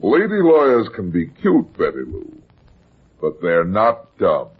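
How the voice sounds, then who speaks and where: An actor playing a brusque, sardonic, wisecracking private detective in a vintage radio drama. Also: male voice, sits low, wildly swooping pitch, takes it slowly, slightly rough, exaggerated voice. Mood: needling. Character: hard-boiled, wisecracking male private detective. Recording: muffled vintage radio recording.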